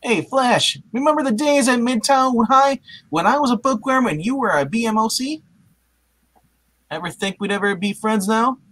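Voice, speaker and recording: A man's voice: extremely high pitch, lively intonation, normal speed, fairly bright tone, pitched above the performer's own voice, heavily acted. A man voicing an anxious, wisecracking young superhero in a theatrical comic book reading, given to exclamations. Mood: eager, concerned.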